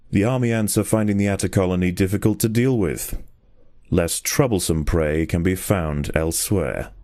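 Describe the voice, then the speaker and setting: A man reading scripted nature documentary narration for a game in a measured, grave and formal storytelling manner. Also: deep voice, dark tone, no room sound, studio quality.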